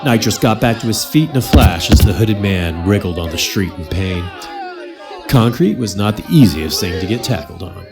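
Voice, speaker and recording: A man's voice: lowish voice, expressive, normal speed, a crowd in the background. A man narrating a scripted comedy radio drama in a dramatic storytelling style. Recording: loud music bed under the voice.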